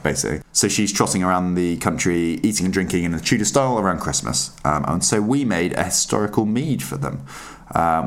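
Deep-voiced man explaining casually. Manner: relaxed and explanatory, with many fillers and restarts. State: under the weather, voice deeper than usual. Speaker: podcast guest, mead maker. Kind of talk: casual podcast chat.

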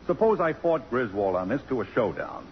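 An American actor playing a defensive, compromising middle-aged college president in a 1950s radio drama. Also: male voice, medium pitch, big pitch swings, fairly quick, natural voice. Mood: exasperated. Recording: dull, noisy old radio broadcast recording.

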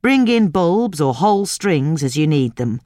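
A British woman reads a scripted gardening article in a low voice. Her pitch moves expressively through each sentence, and the recording is close and dry, with little room sound. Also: big pitch swings, measured pace, dark tone, dry recording. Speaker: podcast reader.

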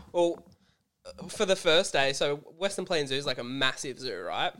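Australian guy in his 30s, slightly hoarse yet resonant, animated storyteller, swears casually. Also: on the high side, measured pace. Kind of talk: casual chat.

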